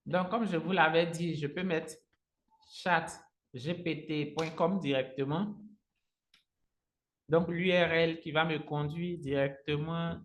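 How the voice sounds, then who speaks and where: A man's voice: on the high side, steady tone, slow, long pauses. Echoey male tutor, native Agni speaker. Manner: instructional, step by step, repeats phrases. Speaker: online trainer. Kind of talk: live software tutorial with screen share. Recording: roomy, echoing sound.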